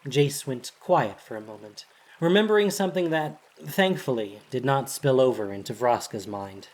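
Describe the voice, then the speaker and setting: A narrator with a husky, breathy voice reads a dramatic story in measured, solemn, deliberate phrases. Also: medium pitch, expressive, a little mellow.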